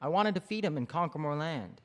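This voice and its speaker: A woman reading the part of an impatient, ambitious king, in a lowered voice. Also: expressive, quick pace, big-room sound. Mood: commanding. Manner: demanding, impatient. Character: ambitious king, adult man, impatient conqueror.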